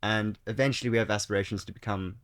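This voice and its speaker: A man's voice: lowish voice, fairly even intonation, fast. A young man with a South African accent, a startup founder talking casually as a podcast guest, chatty with many fillers and restarts. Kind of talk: casual interview chat.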